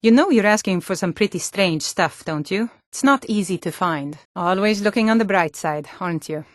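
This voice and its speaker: A woman's voice: medium pitch, expressive, normal speed, dry recording, studio quality, lightly acted. An actress playing a tough, plain-spoken, world-weary Nord townswoman in a fantasy video game. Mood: weary, dry. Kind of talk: video game character dialogue.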